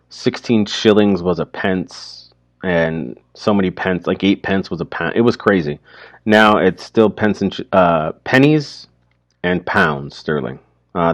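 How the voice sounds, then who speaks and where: A man's voice: deep voice, dry recording. A man giving casual, informal commentary, explaining things plainly like a teacher.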